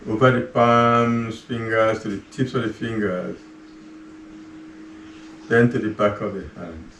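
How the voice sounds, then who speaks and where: A man leading a guided relaxation, giving slow, soft, calm cues with long pauses between them. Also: on the low side. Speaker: meditation guide. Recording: roomy echo, voice far from the mic, faint ambient hum.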